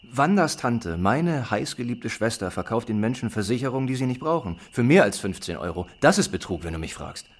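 A flippant, sardonic father in a radio drama. His husky voice sits at a medium pitch and moves animatedly. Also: very animated, brisk, few pauses. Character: flippant, blunt, dismissive father. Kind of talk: children's radio play acting.

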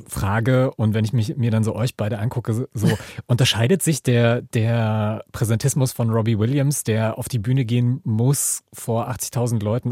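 A man's voice: on the low side, mellow, dry recording, clean studio sound. A man co-hosting a podcast in casual chat, hesitant and joking. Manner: hesitant, many fillers, joking. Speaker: podcast co-host. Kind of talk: casual conversational podcast talk.

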